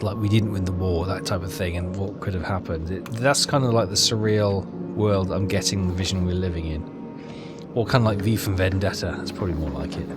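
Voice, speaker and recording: A man's voice: low-pitched, fairly even intonation, brisk. A man giving casual game commentary, muttering and hesitant as he thinks aloud. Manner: muttering, hesitant, puzzling things out. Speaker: gamer doing a walkthrough. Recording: music behind the voice. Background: game music.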